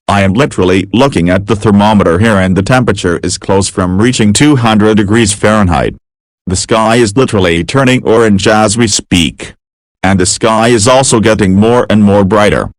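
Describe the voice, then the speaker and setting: A man's voice: lowish voice, moderate pace, no room sound, natural voice. A man playing an overheated radio host reporting on a disaster in an amateur audio drama. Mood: uneasy, reporting.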